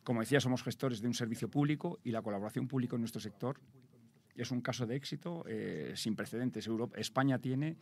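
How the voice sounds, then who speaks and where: A man's voice: mid-range voice, fast, darkish tone, slightly rough. A man with a Spanish accent answering interview questions as an industry representative, explaining in detail and often repeating words as he goes. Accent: Spain. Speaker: bus industry association president, interviewee.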